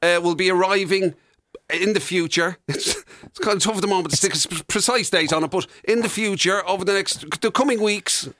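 Irish guy on radio, highish bright voice, halting wry chat, very dry studio sound. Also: no room sound. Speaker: radio show co-host. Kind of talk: casual chat.

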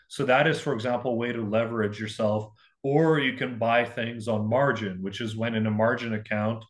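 A young man from Russia tells stories on a podcast in a loose, rambling way. He mocks himself freely and talks it all out in a candid, casual voice at an everyday pitch. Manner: rambling, candid, self-mocking storytelling. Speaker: painter guest.

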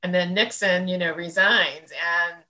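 A woman's voice: fairly low pitch, fairly even intonation, unhurried, bright, forceful tone. A woman in her sixties from central Illinois, an interview guest, recalls the past in a rambling, thoughtful way with many fillers. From central Illinois, United States. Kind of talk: reflective conversational interview.

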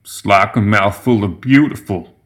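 A man's voice: fairly low pitch, brisk, sharp tone. An eager young gremlin moonshiner, put on by a male narrator, proud but humble. He speaks in a rustic dialect, as a backwoods lad in a fantasy story would. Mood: awed.

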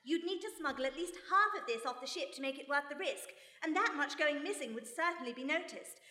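Woman playing a brisk, high-voiced lady sleuth. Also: lively intonation, pushed and bright. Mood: alert, reasoning. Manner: brisk, bossy, deductive. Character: brisk, no-nonsense mother, an amateur sleuth. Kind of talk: radio play acting before a live audience. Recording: echoey hall, live stage recording.

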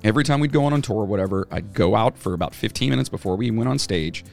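Gravelly low-voiced man chatting. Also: fast, a little mellow, soft background music. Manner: rambling, lots of fillers and restarts. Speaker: podcast co-host; former band singer. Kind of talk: casual chat.

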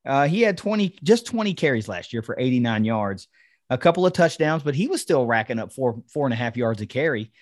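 A man's voice: medium pitch, very animated, a little fast, dry recording. Man talking football in a dry studio sound. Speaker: sports podcast host. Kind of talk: casual football analysis chat.

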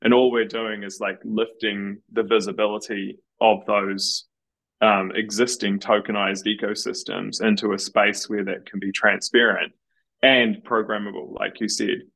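A man's voice: lowish voice, flat delivery, measured pace, gravelly, a bit bright, rough recording. A man as a podcast guest, confident and explanatory, building on the host's points. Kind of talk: casual podcast interview.

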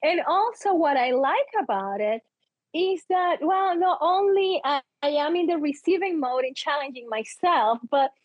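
A woman's voice: high voice, lively intonation, moderate pace, dry recording, lo-fi sound. A woman with a non-native English accent telling personal stories as an interview guest, emphatic and theatrical, often voicing her own thoughts. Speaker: interview guest, book co-author, teacher and performer. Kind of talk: animated personal storytelling in an interview.

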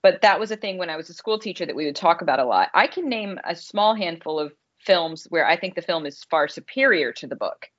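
Woman chatting about movies on a podcast, lowish voice, opinionated, sound a bit dull. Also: animated, a little fast, few pauses. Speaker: podcast co-host and film critic. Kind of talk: casual podcast film discussion.

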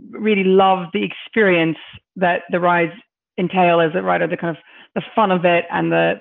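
Hoarse woman on a tinny call line. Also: lowish voice. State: hoarse, losing her voice. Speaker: incoming charity chair. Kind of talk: remote interview answer. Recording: phone-like call audio.

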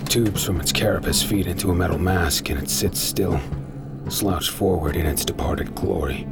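An actor playing a hardened pirate scavenger in an audio drama, narrating in a grim, measured first person. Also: male voice, deep voice. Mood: brooding. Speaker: narrator and lead character. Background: loud music.

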